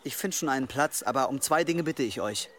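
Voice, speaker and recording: An actor playing a humble, resigned outcast monk, quiet and pious, in a radio drama. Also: male voice, mid-range voice, animated, brisk, a little hiss or hum, close to an everyday voice.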